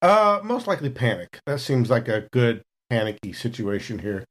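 Man, medium pitch, tabletop player, casual banter, joking tone. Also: big pitch swings, moderate pace. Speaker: player in a tabletop role-playing game.

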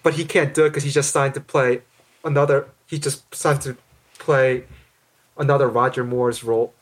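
Relaxed man in his thirties, podcast guest. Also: mid-range voice, moderate pace. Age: 30s. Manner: relaxed, hedging with fillers. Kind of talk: casual podcast discussion.

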